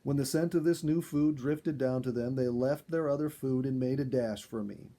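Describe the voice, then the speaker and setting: A man reading a first-person animal story aloud in an expressive storyteller's style. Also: mid-range voice, moderate pace. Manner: expressive, acts out dialogue. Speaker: storyteller, reader.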